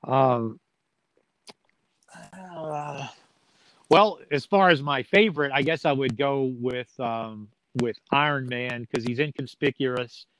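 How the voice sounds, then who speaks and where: A man's voice: mid-range voice, slow, fairly bright tone, no room sound, rough recording. A man talking casually as an interview guest, folksy and plain-spoken, with a joking streak. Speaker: sports play-by-play announcer as interview guest.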